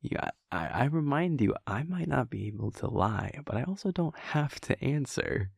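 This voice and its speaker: A man playing an affectionate, bashful vampire prince boyfriend in an intimate roleplay audio, breathy and husky, with a halting, softly teasing manner. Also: mid-range voice, very animated, no room sound, studio quality, heavily acted. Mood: flustered, amused.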